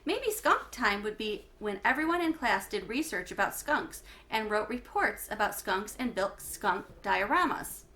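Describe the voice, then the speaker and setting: A woman reading a children's book aloud like a teacher to schoolchildren, with expressive phrasing and emphasis on key words. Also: medium pitch, unhurried, few pauses. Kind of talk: read-aloud storytelling for schoolchildren.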